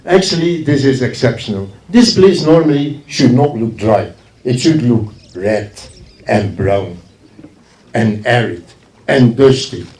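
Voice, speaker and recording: A man's voice: mid-range voice, expressive, a little slow, dark tone. A man giving an informal public speech as a guest at a ceremony, emphatic and repeating phrases for effect. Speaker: guest speaker from a forestry organisation. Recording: echoey speech heard from far off.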